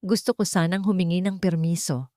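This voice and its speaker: A woman voicing a meek, submissive political wife in an audio storybook, soft-spoken and deferential. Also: on the low side, fairly even intonation, brisk, no echo, studio quality, lightly acted. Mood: hesitant pleading.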